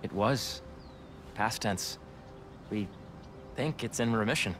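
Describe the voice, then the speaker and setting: A man's voice: on the low side, slow pace, background noise. A man playing an upbeat young American in a video game, a loyal old friend who speaks casually and naturally. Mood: sincere, warm. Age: young adult. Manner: casual, conversational. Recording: music behind dialogue.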